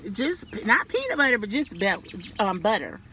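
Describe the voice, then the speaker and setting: A woman storyteller reading a picture book aloud to children and pausing to ask them questions. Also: mid-range voice, very animated, pushed and bright, a little hiss or hum.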